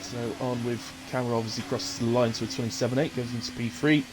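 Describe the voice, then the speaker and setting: A man's voice: mid-range voice, flat delivery, quick pace, over music, low-quality recording. A man with a chest infection giving informal live sim racing commentary and casual chat as the host, in short running phrases.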